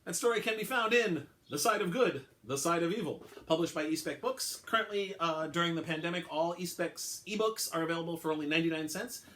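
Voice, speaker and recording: A man's voice: on the high side, fairly quick, hardly any pauses, a bit bright. A man, an author, reading aloud as a dramatic storyteller.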